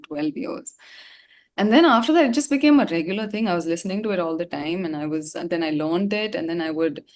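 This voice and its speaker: A woman with an Indian English accent telling a personal story reflectively in an interview, often pausing and repeating words as she searches for the right one. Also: lowish voice, a little mellow. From India (Gangtok, Sikkim). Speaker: singer, interview guest.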